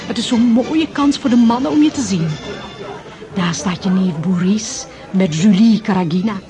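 An actress in a Dutch radio drama playing a gossipy, sharp-tongued society lady, knowing and haughty. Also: mid-range voice, normal speed, music in the background, close to an everyday voice. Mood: haughty, chatty.

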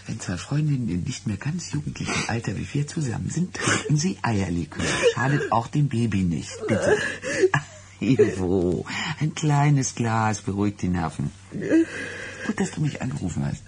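An actress playing a worldly, soothing middle-aged friend in a German radio drama, coaxing and knowing. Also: female voice, a bass voice, very animated, normal speed, noisy background, exaggerated voice.

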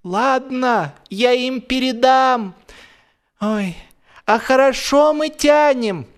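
A man voicing a chirpy, scatterbrained young lady in a comic audiobook, with naive, drawn-out, airy exclamations. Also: extremely high pitch, pitched above the performer's own voice.

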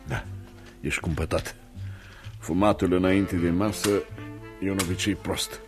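An actor playing a stern, condescending older businessman in a Romanian radio play, speaking in a measured, reproving way. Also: low voice, fairly even intonation, over music. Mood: stern, disapproving. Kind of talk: Romanian radio theatre acting.

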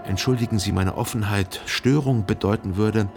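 An actor playing a melancholy, self-deceiving gentleman, a jilted lover narrating a literary radio drama in a measured, formal and carefully articulated way. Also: lowish voice, music in the background, natural voice. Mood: restrained, wounded. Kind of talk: literary radio play narration, reading a letter.

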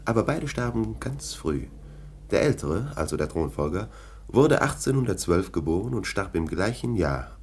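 An actor playing a scornful, domineering police lieutenant in a German radio play, with a sardonic, dismissive manner. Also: male voice, low voice, a little mellow, husky, heavily acted.

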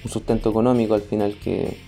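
Mellow Chilean man talking hesitantly. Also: on the low side, little pitch movement, quick pace, creaky, soft background music. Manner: hesitant, searching for words, colloquial. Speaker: guest, podcast creator. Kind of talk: casual conversation.

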